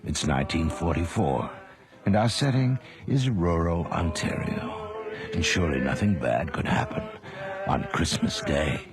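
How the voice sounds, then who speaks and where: Male horror anthology host, creaky fry, lively intonation, deliberate and ominous, music behind. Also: low-pitched. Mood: ominous, sly. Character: sinister, theatrical male horror show host. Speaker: host of a horror radio drama series. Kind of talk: scripted horror anthology narration. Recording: music under the voice.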